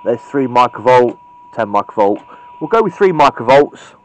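A man's voice: mid-range voice, very animated, moderate pace, a little hiss or hum. A man giving an informal hands-on tutorial in a blunt, chatty, matter-of-fact manner. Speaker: hobbyist radio repairer demonstrating. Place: indoors at a cluttered workbench. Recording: dull, lo-fi camera audio.

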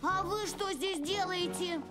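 High-voiced stubborn farm pony. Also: female voice, unhurried. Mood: guarded, curt. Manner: curt, guarded. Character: stubborn, plain-spoken young female farm pony. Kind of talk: animated children's cartoon dub. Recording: music behind the voice.

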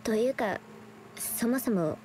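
A woman playing a weary, injured and remorseful young woman painter in a Japanese anime game, breathy and subdued. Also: a little high, expressive, takes it slowly, darkish tone, a little hiss or hum, poor audio. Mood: resigned.

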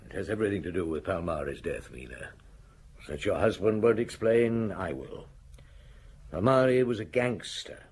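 An actor playing a weary, shrewd senior police inspector, gruff and commanding, in a radio drama. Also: male voice, low-pitched, animated, moderate pace, darkish tone. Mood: accusing, explanatory.

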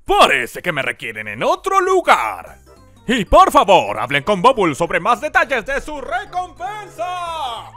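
A man's voice: extremely high pitch, huge pitch swings, a little slow, bright, forceful tone, faint music behind, full character voice. A man voicing a flamboyant, eager cartoon ringmaster with a showy, grandiloquent way of talking. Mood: grandly cheerful. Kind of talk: theatrical cartoon dub acting.

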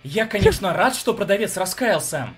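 Male reviewer, mocking scripted commentary, very animated pitch, soft music underneath. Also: moderate pace. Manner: sardonic, mocking. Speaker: video reviewer. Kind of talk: sarcastic scripted commentary on a cartoon.

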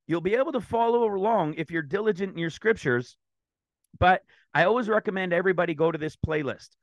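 A man teaching scripture over a screen share, talking in an excited, rambling way with a slightly raspy throat. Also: sits high, dry recording. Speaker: ministry teacher.

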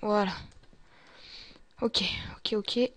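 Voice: medium pitch, fairly even intonation, drawn-out words, fairly bright tone, dry recording. A gamer narrating a zombie survival roleplay in character, muttering to themself and often dropping to a whisper. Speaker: gaming video creator playing a survivor.

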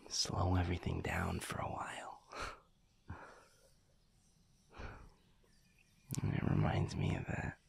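A man playing a sweet, reflective werewolf boyfriend in an intimate audio roleplay, speaking softly and haltingly in a breathy, gravelly half-whisper. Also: deep voice, very animated, exaggerated voice. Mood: musing, gentle. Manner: slow, halting, soft-spoken, musing.

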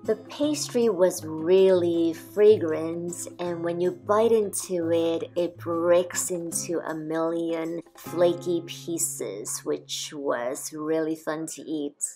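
Woman, food review voice-over, low-ish voice, unhurried, soft music behind. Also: poor audio. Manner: calm, measured, descriptive. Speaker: food vlogger.

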